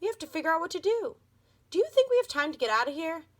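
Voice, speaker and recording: A woman voicing a bold, practical young girl doll in a storybook reading, brisk and urgent. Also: very high-pitched, very animated, pitched above the performer's own voice. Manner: brisk, urgent, loud whispers.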